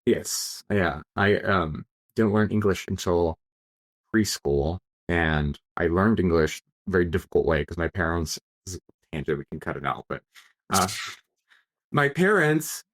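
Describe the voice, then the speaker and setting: A man whose first language is Polish, co-hosting a casual podcast chat in an animated, joking way with lots of fillers. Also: lowish voice. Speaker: podcast co-host.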